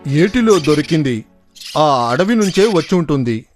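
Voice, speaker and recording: Keen, deferential cartoon royal guard. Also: male voice, on the high side, very animated, measured pace, soft background music. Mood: respectful. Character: deferential royal bodyguard reporting to his king. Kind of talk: children's cartoon story acting.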